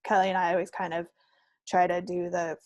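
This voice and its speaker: A woman's voice: on the low side, flat delivery, a little fast, darkish tone, no room sound, studio quality. A woman co-hosting a craft podcast, chatting casually and without a script in a friendly way. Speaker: co-host of a knitting and crafts podcast. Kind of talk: casual unscripted podcast chat.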